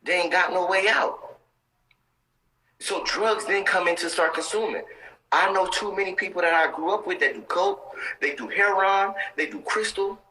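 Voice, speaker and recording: A man's voice: sits high, moderate pace, bright, forceful tone, low-quality recording. A man in his thirties giving motivational talk on a live stream, intense and preachy, repeating his points for emphasis. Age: 30s.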